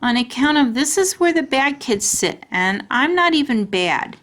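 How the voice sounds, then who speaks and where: A woman voicing an excitable, sassy little kindergarten girl from a storybook, with childish, emphatic kid talk. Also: high voice, moderate pace, voice pushed up. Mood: insistent. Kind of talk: children's storybook character reading.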